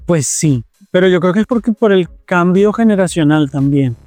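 A man from Colombia, a TV producer answering interview questions in a relaxed, thoughtful way and repeating words as he searches for them. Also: high voice, moderate pace, dry recording, faint music behind.

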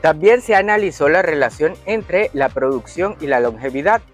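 A man's voice: fairly high pitch, animated, normal speed, faint music behind. A man co-hosting a show, reading a segment and chatting with hesitant fillers when speaking freely. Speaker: show co-host. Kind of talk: reading segment, casual chat.

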